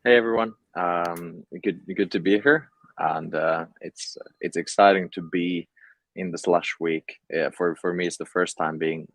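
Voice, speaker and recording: A man's voice: low voice, no echo. A young man from Finland with a Finnish accent, talking casually as an entrepreneur guest in an interview. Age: young adult.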